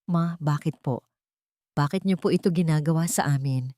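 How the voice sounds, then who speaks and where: A woman's voice: sits low, moderate pace, mellow, no echo, studio quality, natural voice. Woman acting a hurt, angry grown son. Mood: hurt, pleading. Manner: pleading, resentful.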